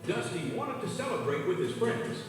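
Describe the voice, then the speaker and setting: Lively man narrating a children's storybook. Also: sits high, moderate pace. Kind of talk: scripted children's read-along narration. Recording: echoey, distant, long reverb, music behind.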